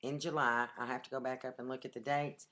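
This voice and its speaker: A woman chatting at home into a webcam, brisk and talkative, cutting in often. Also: extremely low pitch, flat delivery, bright, forceful tone. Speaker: vlogger, half of a couple. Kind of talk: casual webcam chat.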